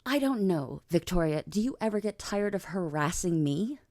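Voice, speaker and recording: Woman acting as composed, mellow vampire queen. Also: on the low side, expressive, moderate pace, no echo, clean studio sound. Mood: coy, teasing. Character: regal, teasing vampire queen, composed older-cousin type. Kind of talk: ASMR audio roleplay acting.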